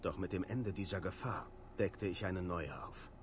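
A man's voice: deep voice, normal speed, a little hiss or hum, close to an everyday voice. A man playing a grim young warrior. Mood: grave. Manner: serious, earnest. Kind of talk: German video game dub. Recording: game audio with music behind.

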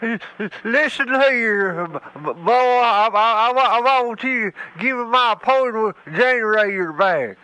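A man's voice: very high-pitched, expressive, measured pace, hardly any pauses, pushed and bright, a little hiss or hum, full character voice. A man voicing a cranky old man from Georgia in a wheelchair who stutters through every insult, speaking with a Southern accent over a phone. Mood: sputtering. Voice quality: heavy stutter, sputtering insults. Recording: tinny phone line.

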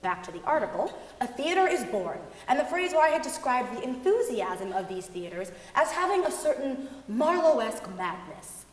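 A woman's voice: high voice, sing-song, normal speed, hardly any pauses. A young woman giving an emphatic dramatic reading of a first-person account on stage. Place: large concert hall stage, seated at music stand, before an audience. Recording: echoey concert hall.

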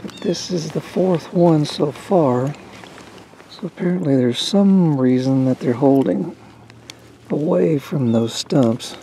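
A woman's voice: low-pitched, takes it slowly, dark tone, a little hiss or hum, poor audio. A woman casually commenting on her fishing from a small boat on a lake, chatting folksily to the fish she catches, recorded on a boat-mounted camera. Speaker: fishing vlogger.

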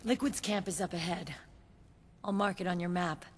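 Actress as lowish-voiced young squad commander. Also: female voice, normal speed, slight background noise, lightly acted. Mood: concerned. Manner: firm, assured. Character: confident, stern young female squad commander. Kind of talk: video game cutscene acting.

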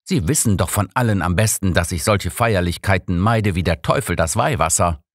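A haughty old gentleman detective , acted out by a male reader, grumpy and sardonic. He speaks formally and emphatically with animated intonation, in a dry audiobook sound. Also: sits low, normal speed, dry recording, voice pushed up. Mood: indignant. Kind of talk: audiobook character reading.

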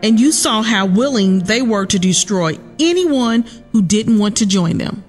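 A woman's voice: medium pitch, lively intonation, a little slow, faint music behind. A woman playing a sassy, blunt, protective survivor in a horror-comedy radio play. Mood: subdued, caring.

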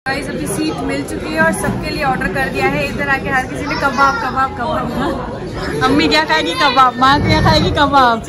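A woman's voice: high-pitched, steady tone, normal speed. A woman in her thirties from Pakistan, chatting to viewers in a casual family vlog. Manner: chatty, addresses viewers as guys. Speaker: vlogger. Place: busy restaurant and party hall, indoors. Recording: loud crowd chatter behind.

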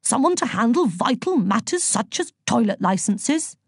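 A huge, officious female inspector from a comic storybook, acted out by a woman narrator. She barks and booms in a raised, indignant voice, recorded close and dry. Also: big pitch swings, normal speed, creaky, slightly rough, dry recording, exaggerated voice. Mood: incredulous, indignant. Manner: booming, barking, indignant.

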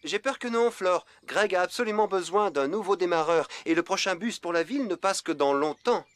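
An actor voicing an easygoing, helpful young farmhand mechanic in a French children's cartoon, calm and polite. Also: male voice, sits high, a little fast, no echo. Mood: concerned.

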